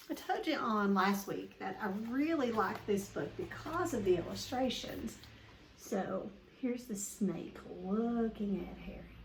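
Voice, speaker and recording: A woman reading a children's book aloud expressively, with deliberate dramatic emphasis. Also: mid-range voice, vocal fry, a little hiss or hum, poor audio. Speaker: read-aloud storyteller.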